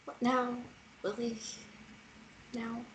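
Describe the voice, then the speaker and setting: A woman's voice: on the high side, level tone, drawn-out words, a little hiss or hum. A woman playing an impulsive, distressed teenage girl at a script table read. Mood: pained, rueful. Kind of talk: table-read dialogue acting.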